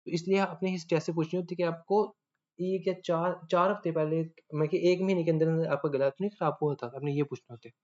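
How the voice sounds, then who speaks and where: A man giving a casual medical lecture, often pausing to check that listeners follow. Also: on the high side, fairly even intonation, a little mellow. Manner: chatty, checks understanding often. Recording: dull lo-fi audio.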